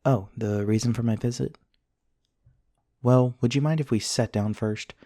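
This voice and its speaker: A man's voice: mid-range voice, steady tone, unhurried, warm tone, no room sound, clean studio sound, natural voice. A man playing an earnest, formal, slightly awkward research scientist in a one-sided ASMR roleplay, speaking politely in wordy, precise sentences. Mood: polite, mildly annoyed.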